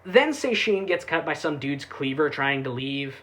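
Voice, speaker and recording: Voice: medium pitch, sing-song, moderate pace, fairly bright tone. A reviewer giving a casual, sarcastic recap with irreverent, sweary delivery. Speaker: YouTube anime reviewer.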